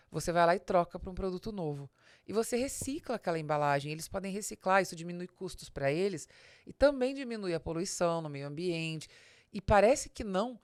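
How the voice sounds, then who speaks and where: A Brazilian woman with a fairly low voice talks through business advice in podcast style. She is didactic and addresses the listener directly, often asking rhetorical questions. The sound is very dry, with hardly any room in it. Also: dry recording. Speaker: business advisor and podcast host. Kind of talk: business podcast talk, explanatory with examples.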